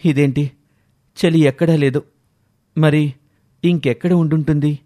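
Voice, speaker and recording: A man's voice: fairly high pitch, steady tone, unhurried, warm tone, close to an everyday voice. Man playing an earnest young brother in a story. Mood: worried. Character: caring, indignant young adult brother and son.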